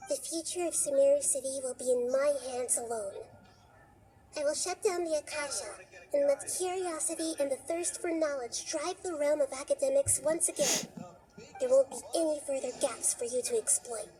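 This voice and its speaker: A woman voicing a small, wise young goddess in a video game, composed and resolute, with deliberate formal speech. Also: sits high, dark tone, close to an everyday voice, poor audio. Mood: resolute, reproving. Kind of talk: dramatic video game voice acting. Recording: music under the voice.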